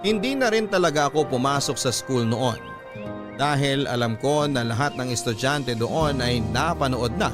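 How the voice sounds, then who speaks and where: Male radio drama narrator, mid-pitched, reading a personal letter dramatically over clear music. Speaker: storyteller narrator. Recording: music under the voice.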